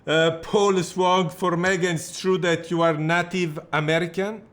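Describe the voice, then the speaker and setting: Host: man, voice sits a bit high, casual and halting, reads out viewer questions. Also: flat delivery, fairly bright tone. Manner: broken English phrasing, halting. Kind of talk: casual live chat.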